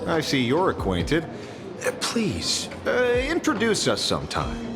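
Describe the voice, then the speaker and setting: A man's voice: mid-range voice, huge pitch swings, measured pace. A man voicing a courteous, slightly sly private detective in a video game, with polite, hesitant turns of phrase. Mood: awkwardly polite. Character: smooth-talking, courteous male private detective. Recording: music under the voice.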